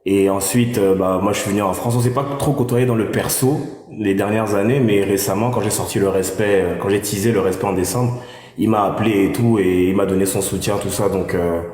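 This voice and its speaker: A man's voice: lowish voice, steady tone, a little fast, a little mellow. A man giving his opinion as a panel guest in a casual, reflective conversation, recorded with echoey reverb. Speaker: guest panelist, music artist. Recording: echoey, long room reverb.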